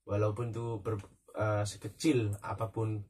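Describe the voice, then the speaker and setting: A man's voice: fairly low pitch, level tone, a little slow, a little mellow, breathy edge. A man with an Indonesian accent chatting casually as a co-host, agreeably echoing what his partner says. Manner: agreeable, echoes the other speaker. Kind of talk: casual chat. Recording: distant from the microphone.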